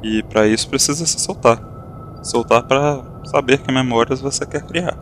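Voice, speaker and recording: An actor playing a calm, reflective spirit of a dead friend in an audio drama, speaking softly and unhurriedly. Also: male voice, mid-range voice, moderate pace, soft background music, close to an everyday voice. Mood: wistful. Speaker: spirit character.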